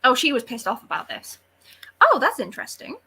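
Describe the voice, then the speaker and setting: A woman with a bright, forward voice that sits a little high and has a husky edge. She talks as a book club panellist in casual, informal chat, animated and quick to give her opinion.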